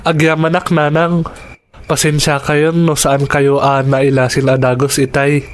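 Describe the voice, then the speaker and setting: A man's voice: on the high side, monotone, measured pace, lightly acted. A man playing a polite, respectful fifteen-year-old schoolboy in an audio drama. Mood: apologetic, shy.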